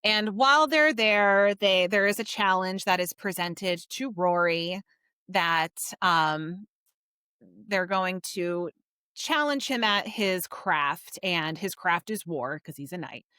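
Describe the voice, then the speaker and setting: A woman's voice: lowish voice, animated, slow, sharp tone, clean tone, no room sound, studio quality. A woman co-hosting a book podcast, retelling a story in a chatty, informal, emphatic way. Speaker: podcast co-host. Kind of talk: casual book discussion recapping a story.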